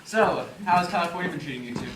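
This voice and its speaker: A man's voice: high voice, animated, very fast. A man on stage playing a genial, joking father, recorded in an echoey space. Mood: jokey. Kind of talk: amateur stage play dialogue. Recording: echoey distant stage recording, faint noise.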